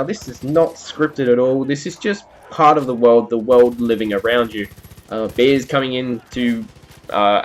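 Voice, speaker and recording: A man giving a conversational, explanatory video game review as commentary over gameplay. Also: mid-range voice.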